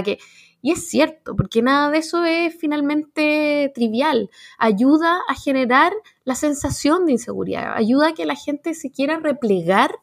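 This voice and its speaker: A Chilean woman in her forties with a Chilean accent, chatting casually as a panelist. Her voice sits a little high and has a gravelly fry. She talks in an animated, slangy way, full of fillers and restarts. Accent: Chilean Spanish. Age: 40s. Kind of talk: casual radio panel chat.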